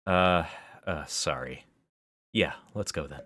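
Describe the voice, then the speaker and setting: A man's voice: rumbling low, takes it slowly, pushed and bright, no room sound, clean studio sound, exaggerated voice. A man voicing an anxious, exasperated schoolgirl with an incredulous, insistent, questioning manner. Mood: contrite.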